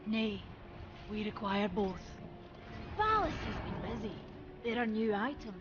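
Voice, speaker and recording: A woman voicing a proud, forceful forge maker in a video game, brisk and commanding. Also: medium pitch, animated, highs cut off, lightly acted. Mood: businesslike.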